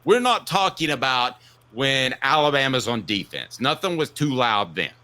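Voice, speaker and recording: A man hosting a sports talk show, giving opinionated commentary in an emphatic way, with punchy declarations and drawn-out stresses. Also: medium pitch, big pitch swings, sharp tone.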